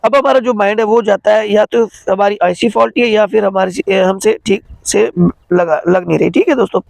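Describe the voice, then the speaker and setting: A man with a high-pitched, crackly voice walks listeners through a hands-on repair job. His delivery is informal and halting, and he keeps checking that people follow. The sound is dry and close, with very little room to it. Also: gravelly, a little mellow, no echo. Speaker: phone repair tutorial host. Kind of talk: step-by-step hands-on repair tutorial.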